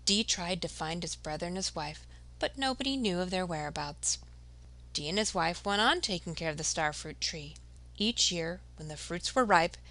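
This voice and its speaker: A woman storyteller giving a dramatic, expressive scripted narration of a folktale. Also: on the low side.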